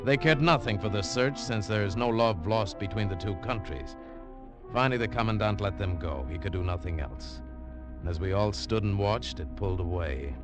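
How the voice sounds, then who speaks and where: A man's voice: fairly low pitch, normal speed, lightly acted. A man playing a world-weary American adventurer who tells his own hard-boiled tale in a 1940s radio drama. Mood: grim, tense. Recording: old 1940s radio broadcast, music underneath.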